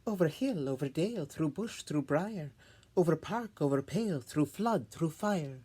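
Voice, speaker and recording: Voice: mid-range voice, animated, moderate pace, dark tone, slightly rough. A solo reader voicing a nimble fairy servant in lilting, theatrical Shakespearean verse. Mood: airy, lively.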